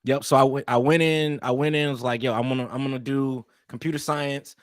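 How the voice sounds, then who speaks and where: A man's voice: mid-range voice, fairly quick, no room sound, clean studio sound. Laid-back man from East St. Louis. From East St. Louis, Illinois. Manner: relaxed, rambling, peppered with "man" and restarts. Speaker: guest. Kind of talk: casual interview storytelling.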